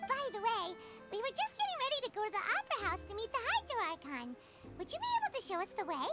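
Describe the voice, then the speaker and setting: A woman voicing a tiny, chirpy fairy-like girl companion in a video game, squeaky, childlike and quick to be indignant. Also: wildly swooping pitch, full character voice. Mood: chirpy, curious. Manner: refers to self in third person, exclamatory. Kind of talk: scripted video game dialogue. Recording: music playing loudly behind.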